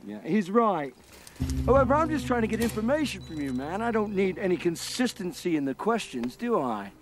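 An actor playing a cocky, groovy English spy with a flirty, swaggering drawl. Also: male voice, high voice, sing-song, normal speed. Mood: pestering.

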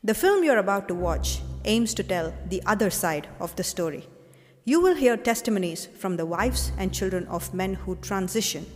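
A woman giving measured, grave scripted narration for a documentary. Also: mid-range voice, expressive. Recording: hall reverb. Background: loud music.

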